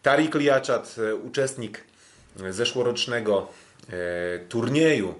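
A man hosting a sports podcast, commenting on kickboxing bouts in a halting, hesitant way. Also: medium pitch, lively intonation.

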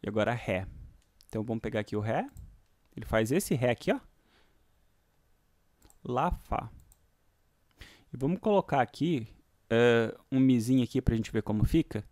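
A man with a Brazilian accent giving a casual, hands-on music software lesson in an informal, slangy way. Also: mid-range voice, lively intonation, moderate pace, long pauses, no echo. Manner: informal, uses diminutives and slang. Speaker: music software tutorial host. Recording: electronic synth music behind voice. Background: synthesizer and vocoder demo sounds, clicks.

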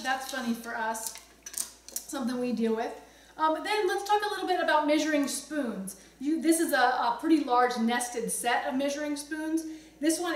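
A middle-aged woman giving a casual, conversational cooking demonstration to camera in her home kitchen, explaining things with chatty asides. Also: sits high. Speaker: cooking instructor, host. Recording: roomy echo, distant mic, some background noise.

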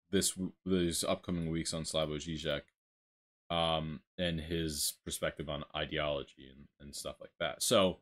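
Low-voiced young man chatting at his desk. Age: young adult. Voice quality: deep voice. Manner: rambling, hesitant, many fillers. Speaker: vlogger. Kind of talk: casual commentary. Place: small room at a desk.

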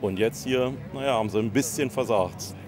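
A man's voice: mid-range voice, a little fast, music in the background. A middle-aged man, a football fan, answering casually into a handheld microphone outside a stadium, with noise around him. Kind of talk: casual street interview answers. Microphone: handheld interview microphone. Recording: clear outdoor crowd-side background noise.

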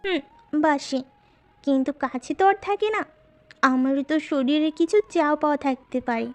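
A woman's voice: high voice, normal speed, darkish tone, soft background music, lightly acted. A woman playing a flirtatious, scheming unfaithful wife in an audio drama, coaxing and intimate. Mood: coaxing, yearning. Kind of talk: audio love-story drama acting.

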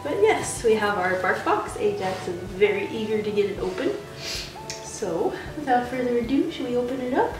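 A woman's voice: fairly high pitch, big pitch swings, normal speed, far from the mic. A young woman with a congested head cold, chatting casually while she unboxes a gift box and talks to her dogs. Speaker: vlogger unboxing dog gifts. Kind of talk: casual chat. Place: small bedroom, seated on a couch. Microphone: camera mic at a distance. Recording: roomy, off-mic, with background music.

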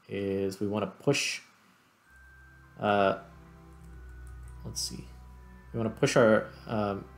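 Low-pitched man doing casual gameplay commentary. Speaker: gaming video host. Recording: music behind the voice. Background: background music.

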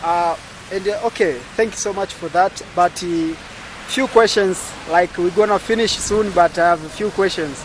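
A man's voice: sits high, normal speed, a little hiss or hum, low-quality recording. A young man with a Tanzanian accent hosting a casual outdoor interview, talking straight to his viewers with a camera held at arm's length. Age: young adult. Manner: chatty, eager, addresses viewers directly. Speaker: vlogger host. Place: outdoors at a market stall area.

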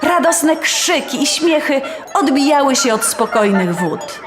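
A woman's voice: high-pitched, expressive, measured pace. A woman narrating a scripted fairy tale as a storyteller. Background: faint music.

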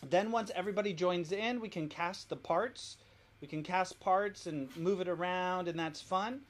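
A man's voice: sits high, normal speed. A man hosting from home, informally explaining things step by step in a casual walk-through. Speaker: host of a family worship demo. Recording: noisy home recording.

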